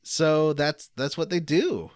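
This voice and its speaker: A man's voice: fairly high pitch, slow, no echo, studio quality. A man hosting a casual podcast, recapping a video game in a rambling, enthusiastic way. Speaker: podcast host.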